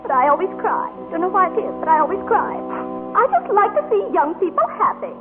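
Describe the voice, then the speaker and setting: A gushing, chattery middle-aged wedding guest in old radio acting. Her high voice runs on eagerly. The sound is a tinny broadcast with music behind it. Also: big pitch swings, brisk.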